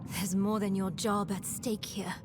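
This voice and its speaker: A woman's voice: medium pitch, little pitch movement, measured pace, background noise, lightly acted. A woman playing a headstrong, defiant girl in a video game cutscene, earnest and insistent. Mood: accusing. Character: headstrong, defiant girl searching for her missing mother. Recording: loud game score behind voice.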